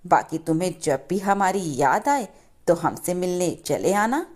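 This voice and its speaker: A woman voicing a gentle, self-sacrificing mother in a moral story, speaking in a soothing, motherly way. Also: fairly low pitch, lively intonation, measured pace. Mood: reassuring. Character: gentle self-sacrificing poor widowed mother, reassuring her daughters. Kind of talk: animated moral story dialogue.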